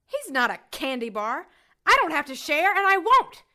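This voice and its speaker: A woman's voice: high voice, big pitch swings, normal speed, sharp tone, exaggerated voice. A woman playing a jealous, vain and possessive self-styled goddess in an audio drama, haughty and cutting. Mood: furious, possessive.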